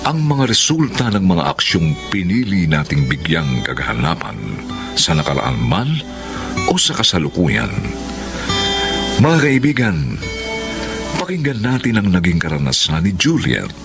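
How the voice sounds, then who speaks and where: Male classic radio drama announcer: deep, very unhurried, theatrical, over loud music. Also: wildly swooping pitch. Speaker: programme narrator and announcer. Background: loud plucked guitar music.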